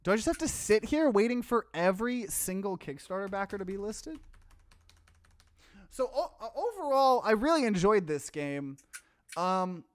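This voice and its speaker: A man's voice: sits high, dry recording, studio quality. A man doing casual let's play commentary, reading game text aloud and reacting to it as a streamer.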